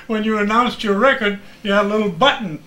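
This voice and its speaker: A man's voice: high voice, fairly quick, crowd noise, poor audio. An older man casually reminiscing as a guest, folksy and given to exclamations. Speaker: interview guest, radio veteran.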